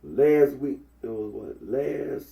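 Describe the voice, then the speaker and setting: A young man from with a head cold, talking through a face mask in a rambling, halting monologue full of fillers. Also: medium pitch, gravelly, warm tone. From Memphis, Tennessee. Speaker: vlogger. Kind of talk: casual Facebook Live monologue. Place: indoors at home. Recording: echoey room, mic at a distance.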